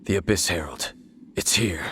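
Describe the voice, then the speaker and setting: An actor playing a grave, brooding warrior in a fantasy video game, speaking in a solemn and measured way. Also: male voice, deep voice, fairly bright tone, slight background noise. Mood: grave, wary.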